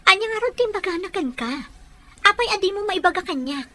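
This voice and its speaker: A woman's voice: very high-pitched, lively intonation, a little fast, pushed and bright, a little hiss or hum, exaggerated voice. A woman with an Ilocano accent playing an insistent, caring young fiancée in a radio drama. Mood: suspicious, pressing.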